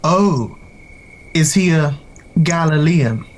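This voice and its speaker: A woman's voice: low-pitched, expressive, slow pace. A woman playing a reluctant, deferential Roman governor in an audio play. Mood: dismissive. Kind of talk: dramatic audio play acting.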